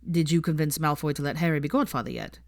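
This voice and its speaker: A woman's voice: sits low, fast, vocal fry, a little mellow, no echo, clean studio sound. A woman reading the part of a casual, slangy young man. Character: young adult man, an easygoing old friend.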